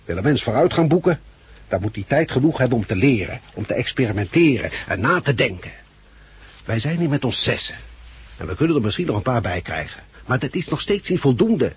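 Casting: blunt loudmouth leader, very raspy, mid-pitched, forceful lecturing, 1960s radio play. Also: male voice. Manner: forceful, lecturing, coarse-tongued. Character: blunt, loudmouthed male labour agitator turned pragmatic survivor leader. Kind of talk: 1960s radio drama acting. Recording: muffled-edged 1969 radio play recording.

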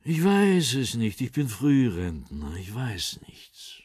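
An actor playing a heavyset older former East German intelligence officer in a radio crime drama, defensive and sardonic, halting between pride and evasion. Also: male voice, mid-range voice, huge pitch swings, a little mellow, slightly rough, no room sound, clean studio sound, exaggerated voice. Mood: defiant, weary.

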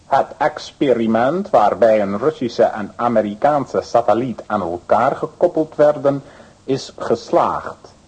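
News announcer bit, slow measured delivery, slightly husky, formal. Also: male voice, mid-range voice, animated, darkish tone, poor audio. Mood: matter-of-fact. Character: formal, matter-of-fact male news reader.

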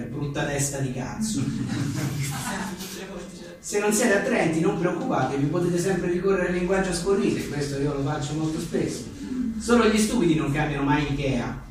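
A man's voice: on the high side, a little mellow. A man, an author reading his comic aphorisms aloud with wry, self-deprecating, chatty asides. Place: echoing venue at a book presentation. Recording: very echoey room, distant mic, faint noise.

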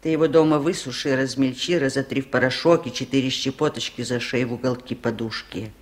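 A woman's voice: rumbling low, fairly even intonation, fairly quick. An older woman playing a wise, lonely village aunt in a 1970s radio play, speaking in a folksy, musing way. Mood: gentle, confiding.

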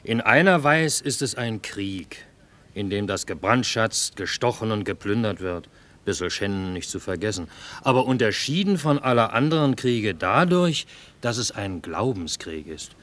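An actor playing a cynical army cook with a dry, ironic, measured delivery in a radio play. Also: male voice, lowish voice, sing-song, a bit bright. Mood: dry irony. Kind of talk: theatrical radio drama acting.

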